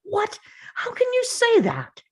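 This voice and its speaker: A woman's voice: very high voice, wildly swooping pitch, slow pace, full character voice. A woman playing a grieving, angry father in a dramatic script read, confrontational and emphatic. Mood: outraged. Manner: confrontational, emphatic, pressing with questions.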